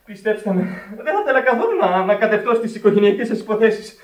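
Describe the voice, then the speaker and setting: A young sailor with a high voice, eager to please and easily flustered. He stammers politely in theatrical radio acting. The old recording sounds roomy and a little far from the mic. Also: expressive. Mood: nervous. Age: young adult.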